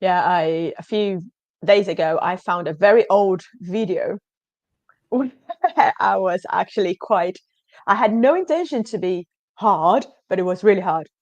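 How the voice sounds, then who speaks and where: A woman's voice: medium pitch, measured pace, no room sound, highs cut off. A woman whose first language is Portuguese, answering interview questions in an earnest, emphatic way over a video call. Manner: earnest, emphatic, often says absolutely. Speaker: guest.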